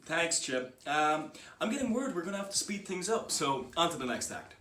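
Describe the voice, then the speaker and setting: A young man playing a mock-serious TV anchor who co-hosts a show, with a deadpan delivery. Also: a little high, moderate pace. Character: male TV studio news anchor, mock-serious showcase presenter. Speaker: co-host. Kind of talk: parody newsreader presenting.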